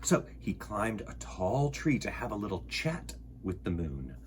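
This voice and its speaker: Very animated, unhurried man reading storytime. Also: lowish voice, a little hiss or hum. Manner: playful, rambling wordplay, theatrical delivery. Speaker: storytime host. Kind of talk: children's picture-book read-aloud.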